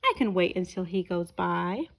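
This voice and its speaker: A woman's voice: fairly low pitch, expressive, moderate pace, creaky, pitched below the performer's own voice. A woman voicing a patient male street cap peddler in a children's storybook. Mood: resigned, patient. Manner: calling out wares, sighing resignedly.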